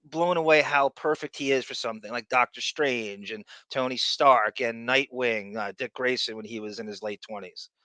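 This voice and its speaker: Bright-voiced, rambling male podcast host. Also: medium pitch, normal speed, few pauses, no room sound. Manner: rambling, repeats phrases, jumps between asides. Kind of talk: casual live chat show.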